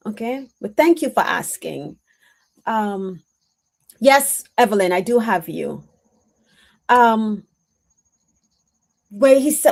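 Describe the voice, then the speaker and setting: A woman giving an intuitive spiritual reading on a live stream, answering her chat in a reflective, halting way with many pauses and "um"s. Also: medium pitch, darkish tone. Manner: slow, reflective, halting with frequent "um"s.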